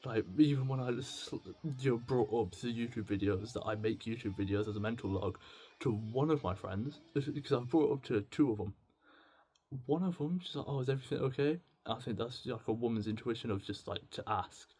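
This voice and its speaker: A British man talking in a casual, unscripted video diary, rambling and halting, with a self-deprecating manner. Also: medium pitch, mellow, breathy edge. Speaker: video diarist. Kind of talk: casual unscripted confessional monologue.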